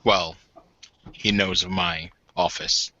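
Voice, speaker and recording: A man voicing a reserved, awkward bridegroom with a halting, guarded delivery in a tabletop roleplaying game. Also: sits low, steady tone, pushed and bright, slight background noise, voice pushed down, full character voice. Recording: dull muffled lo-fi audio.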